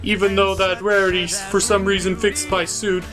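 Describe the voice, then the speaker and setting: A sly cartoon cat hustler played by a man, glib and shamelessly two-faced. The voice sits high and casual, with music clearly playing behind it. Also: flat delivery, moderate pace, natural voice.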